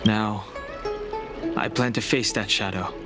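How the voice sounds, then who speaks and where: A reserved young hero in a game, speaking quietly and soberly in a lowish voice. He sounds solemn and steady. Game music runs underneath. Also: slow pace. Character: solemn young man facing his past. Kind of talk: video game voice acting.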